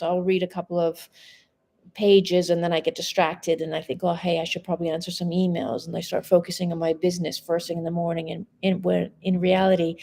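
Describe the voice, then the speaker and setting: A woman's voice: fairly low pitch, warm tone, a little hiss or hum. A woman in her forties, a mindset coach, talking frankly and casually to a live audience from home, swearing now and then. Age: 40s. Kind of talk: casual live-stream monologue.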